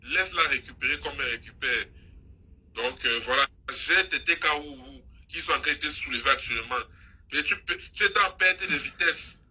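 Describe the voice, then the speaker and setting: A woman's voice: extremely low pitch, normal speed, sharp tone, breathy edge, rough recording. A woman hosting a live stream, scolding and mocking someone in an insistent, repetitive gossip rant. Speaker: social media live host.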